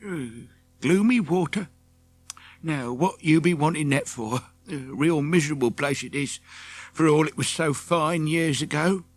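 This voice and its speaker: A man's voice: a little high, unhurried, soft background music, pitched above the performer's own voice. A man voicing a blunt but helpful old village shopkeeper with country phrasing in a children's audiobook. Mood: gloomy, curious. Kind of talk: children's audiobook character acting.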